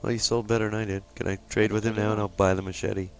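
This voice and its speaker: A man's voice: lowish voice, flat delivery, quick pace, creaky, a little hiss or hum. A man co-hosting a gameplay video in casual chat, directing his partner in a matter-of-fact way. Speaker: gaming video co-host and player.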